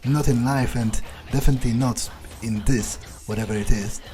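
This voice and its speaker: Casting: male gamer, medium-pitched, improvising in character with halting delivery over faint game music. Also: fairly even intonation, warm tone. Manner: hesitant, halting, profane. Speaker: gamer role-playing a vampire character. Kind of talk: in-character game playthrough, thinking aloud.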